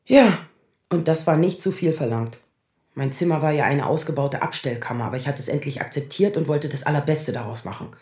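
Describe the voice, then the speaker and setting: A woman's voice: deep voice, a little fast, darkish tone, natural voice. In a roomy, echoing space, an actress plays a woman in her thirties with a sardonic, defensive edge. She confides like a patient in therapy, in the natural style of a radio drama. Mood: wry, confessional. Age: 30s. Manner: sardonic, confessional.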